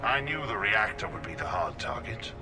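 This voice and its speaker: Guy acting smug polite evil general, lowish voice, game music behind. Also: expressive, natural voice. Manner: measured, formal, condescending. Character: smug, cultured male general villain, composed and courteous.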